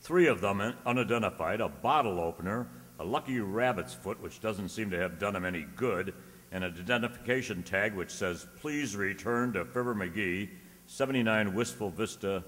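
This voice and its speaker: A man plays a pompous family doctor in a radio comedy, his voice fairly low. He is dry, wordy and sharp-tongued with his jibes. A long hall echo surrounds him. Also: normal speed, close to an everyday voice. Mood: sarcastic, grand. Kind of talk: old-time radio comedy acting. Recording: echoey hall stage recording.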